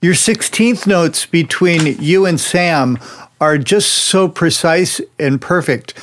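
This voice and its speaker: A man's voice: on the high side, slow, a bit bright, a little hiss or hum. A man hosting an interview, speaking in an enthusiastic, friendly way and laughing often. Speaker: interviewer and show host. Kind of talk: interview host.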